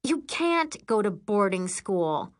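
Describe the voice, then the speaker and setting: A woman's voice: a little high, big pitch swings, slow pace, a bit bright, voice pushed up, full character voice. Woman as a scoffing, sarcastic teenage big sister. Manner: scoffing, indignant, dramatic. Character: sarcastic, scornful teenage older sister and witch.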